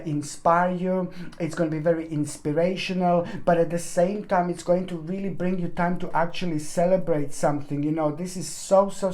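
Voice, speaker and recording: A woman's voice: on the low side, darkish tone. A woman reading a weekly tarot forecast, talking in a gushing, effusive way with many fillers and restarts, and calling her listeners by pet names. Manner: addresses listeners as "my gorgeous" sign. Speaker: tarot reader.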